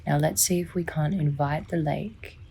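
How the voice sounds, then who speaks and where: A woman softly and soothingly narrating a guided sleep meditation, unhurried, with long pauses and a breathy, near-whispering delivery. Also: sits low, a little hiss or hum. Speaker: psychologist guiding a sleep meditation.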